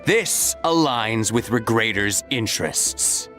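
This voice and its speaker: An actor playing a haughty, money-minded mercenary debt collector in a video game, speaking in declamations. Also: male voice, on the low side, lively intonation, slow, fairly bright tone, faint music behind. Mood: haughty, confident.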